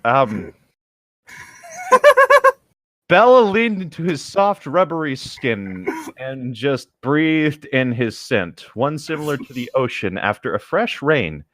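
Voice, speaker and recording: A man's voice: medium pitch, big pitch swings, takes it slowly, a bit bright, no room sound. A man reading a story aloud as co-host and breaking off for amused, casual asides. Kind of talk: story narration with casual commentary.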